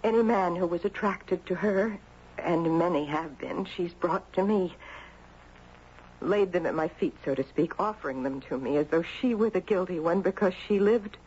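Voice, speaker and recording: A woman's voice: fairly low pitch, darkish tone, breathy edge. Anguished grieving mother, muffled radio drama. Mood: anguished, remorseful. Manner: halting, dramatic, confessional delivery. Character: guilt-ridden grieving mother, anguished and confessional. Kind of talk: 1970s radio drama acting. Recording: muffled, noisy 1970s radio recording.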